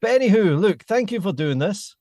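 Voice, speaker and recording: A man in his forties with a Glaswegian accent softened by years in England, hosting a casual podcast chat with self-deprecating, rambling anecdotes. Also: high-pitched, expressive, brisk, no echo, studio quality. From Scotland. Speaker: podcast host.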